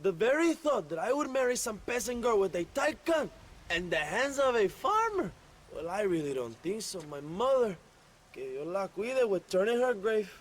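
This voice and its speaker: A man playing a pompous, lecherous Mexican rebel leader in a video game, theatrical and flattering, with a Mexican accent. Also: high voice, moderate pace. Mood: haughty. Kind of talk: video game cutscene acting.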